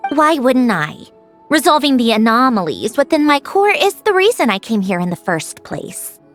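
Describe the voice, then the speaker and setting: A woman playing a proud, prickly sentient machine girl in a video game, curt and impatient but secretly soft. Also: a little high, sing-song, moderate pace, soft background music. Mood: brisk, determined. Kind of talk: scripted anime-style game acting.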